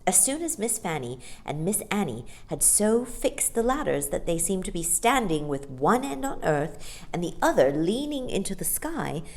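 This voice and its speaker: A woman narrating a children's story in an expressive storytime style. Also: mid-range voice. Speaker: storytime reader.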